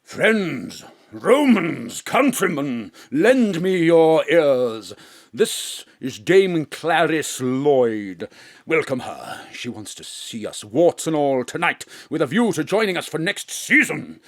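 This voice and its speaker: An actor playing a pompous, self-important stage actor who slips into theatrical mock-Shakespearean ranting. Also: male voice, fairly high pitch, very animated, takes it slowly, no echo, studio quality, full character voice. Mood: declaiming. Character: pompous hammy old male stage actor. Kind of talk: audio drama acting. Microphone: home studio microphone.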